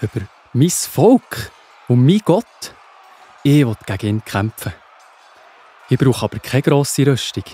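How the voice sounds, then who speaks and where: A brave young shepherd played by a man in a children's Bible audio play. His voice is husky and mid-pitched, with a Swiss German accent, and he declares himself earnestly and defiantly. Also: animated, slow, pauses often, warm tone. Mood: indignant, determined. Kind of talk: children's audio drama acting.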